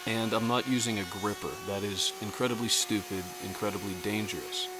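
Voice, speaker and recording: A man hosting a casual woodworking tutorial in his workshop, relaxed and self-deprecating. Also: lowish voice, fairly quick, noisy background. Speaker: woodworking host. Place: home woodshop.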